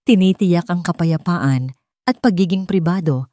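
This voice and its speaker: A woman's voice: on the low side, moderate pace, dark tone, no echo, studio quality. A woman reading scripted narration for a dramatic audio story as its narrator.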